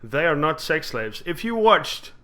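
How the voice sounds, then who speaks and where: Bright-voiced rambling male podcast host. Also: a little high, sing-song, unhurried, slight background noise. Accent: mixed, hard to place. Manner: rambling, laughs at his own jokes. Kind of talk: casual chat.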